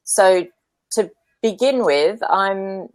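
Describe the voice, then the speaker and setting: An Australian woman hosting an informal online discussion, speaking in measured, tentative phrases. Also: medium pitch, lively intonation. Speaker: host.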